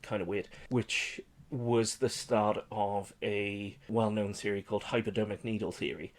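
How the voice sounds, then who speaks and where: Guy with a lowish voice on a film podcast, jokey, casually explaining movie trivia. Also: steady tone, measured pace.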